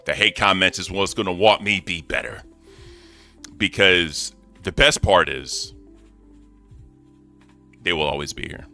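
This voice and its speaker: A deep-voiced man co-hosting a casual livestream, talking back at critics in a defiant, animated way with swearing. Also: unhurried, vocal fry, pauses often, faint music behind. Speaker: co-host.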